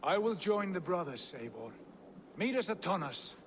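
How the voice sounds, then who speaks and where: Higher-voiced, composed Viking leader. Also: male voice, very animated, normal speed, slight background noise. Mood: decisive. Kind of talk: video game cutscene acting.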